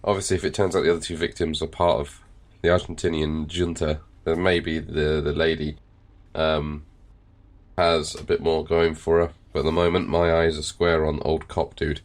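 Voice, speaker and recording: Brief: congested man, low voice, rambling casual game commentary. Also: level tone, moderate pace. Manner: rambling, with fillers and swearing. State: nasal and congested, recovering from illness. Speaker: let's play host. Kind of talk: casual gameplay commentary and reading game text.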